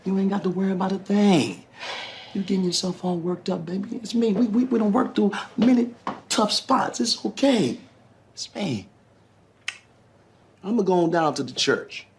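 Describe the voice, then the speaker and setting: An actor playing a smooth-talking, evasive preacher husband in a screen drama, coaxing and reassuring his wife. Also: high-pitched, moderate pace, pauses often, darkish tone, slight background noise.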